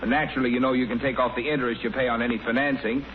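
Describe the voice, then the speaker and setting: Actor as fast-talking know-it-all neighbor, muffled radio. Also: male voice, mid-range voice, fairly even intonation, close to an everyday voice. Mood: helpful, insistent. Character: brisk, know-it-all male neighbor giving tax advice. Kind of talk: 1940s radio sitcom acting. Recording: muffled, faintly noisy 1940s radio broadcast.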